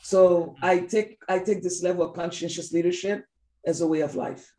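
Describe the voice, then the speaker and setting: A woman's voice: lowish voice, fairly even intonation, normal speed, warm tone. A woman who speaks English as a second language, talking earnestly and emphatically as a coach in a casual conversational interview, repeating her key phrases. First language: not English. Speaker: team coach. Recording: roomy echo.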